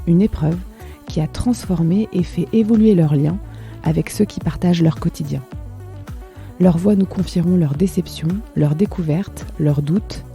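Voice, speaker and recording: A woman hosts a podcast, reading a measured scripted introduction and putting questions to her guest. Her voice sits low and sounds very warm and mellow. Soft music plays faintly behind her. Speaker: podcast host. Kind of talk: scripted narration and interview questions.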